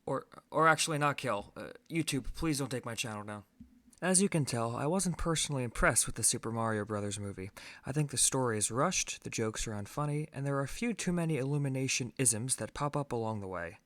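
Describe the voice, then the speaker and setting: A man's voice: medium pitch, expressive, brisk, a bit bright. A man giving a scripted film review as host, conversational and opinionated, with emphatic stresses.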